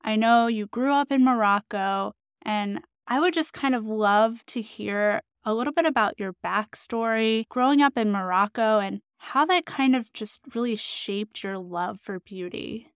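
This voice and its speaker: A woman's voice: fairly high pitch, unhurried, few pauses, smooth, no room sound, studio quality. A woman hosting an interview podcast, asking questions in an upbeat, friendly way. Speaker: podcast host.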